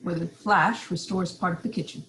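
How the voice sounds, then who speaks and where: A woman's voice: lowish voice, fairly even intonation, normal speed, a bit bright, low-quality recording. A woman giving scripted narration, reading out stage directions for a play. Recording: some background noise.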